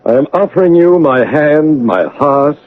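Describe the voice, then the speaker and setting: An actor playing a brooding, commanding gentleman master and passionate suitor in an old-time radio drama, abrupt and ardent in manner. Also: on the high side, expressive. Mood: ardent, urgent. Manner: teasing, abrupt, ardent, halting for effect. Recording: dull, band-limited old radio broadcast.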